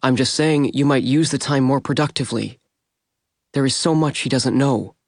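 A man voicing a calm, practical male vampire who speaks softly and matter-of-factly. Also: medium pitch, moderate pace, no room sound, studio quality, lightly acted. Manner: quiet, matter-of-fact. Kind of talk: audiobook character voice.

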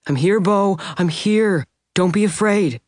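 A man voicing a tender, grieving female vampire who talks softly and pleads as she reassures someone. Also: fairly high pitch, normal speed, no room sound, clean studio sound, pitched above the performer's own voice, natural voice. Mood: soothing. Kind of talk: audiobook character voice.

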